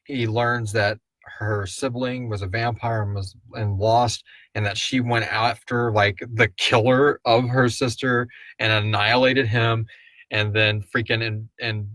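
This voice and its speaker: Low-voiced, dry-sounding man chatting casually. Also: steady tone, a little slow, a bit bright, no room sound, rough recording. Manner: rambling, frequent "like". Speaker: host and writer of a horror audio drama. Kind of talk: casual live Q&A chat.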